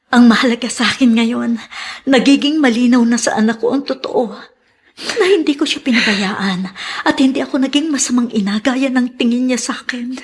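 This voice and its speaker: A woman's voice: on the high side, husky. An actress playing a tender, forgiving mother who longs for her child, earnest and emotional in melodrama style. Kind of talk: Filipino melodrama acting.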